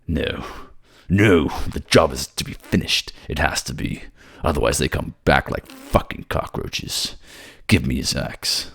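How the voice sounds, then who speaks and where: A man's voice: very deep voice, measured pace, gravelly, a bit bright, slightly rough, exaggerated voice. A man playing a proud, ruthless, volatile king in a fantasy audio drama, with a menacing, formal manner. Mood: grim fury.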